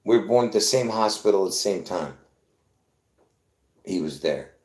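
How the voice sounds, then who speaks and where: A man's voice: sits low, animated, moderate pace, darkish tone, echoey room. A man born in Lebanon, hosting a live video in a rambling, insistent monologue full of restarts. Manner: insistent, repetitive, with many restarts. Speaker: conspiracy video host.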